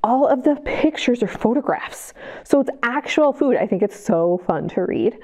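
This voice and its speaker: A woman leading a children's storytime, her voice pitched a little high and swooping lively up and down as she reads a picture book aloud. She coaxes the kids along in an encouraging way, recorded through a camera mic. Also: moderate pace. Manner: bright, encouraging, talks to kids. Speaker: children's librarian.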